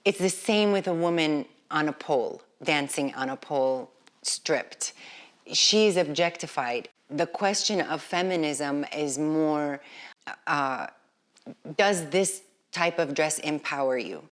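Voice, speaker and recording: A woman's voice: low-pitched, takes it slowly. A woman in her forties speaking thoughtfully in an interview. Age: 40s. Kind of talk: candid sit-down interview. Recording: faint music behind voice.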